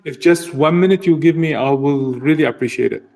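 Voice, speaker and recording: A man's voice: fairly high pitch, brisk, a little mellow. A Palestinian Canadian man calling in to a live chat who asks politely and pleadingly, with broken grammar. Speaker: caller. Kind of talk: casual live audio chat.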